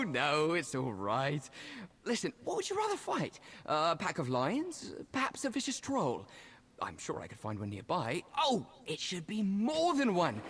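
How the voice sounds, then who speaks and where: A giddy, starstruck admirer from a fantasy video game. The voice is husky and leaps wildly up and down, gushing and tripping over words with eagerness. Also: mid-range voice, heavily acted. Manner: gushing, eager, stammering with excitement. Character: giddy, starstruck adoring fan of a legendary hero.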